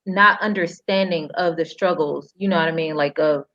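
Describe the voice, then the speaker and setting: A woman's voice: deep voice, moderate pace, a bit bright, highs cut off. A woman with an American accent speaking casually as a panelist, in hesitant, conversational phrasing.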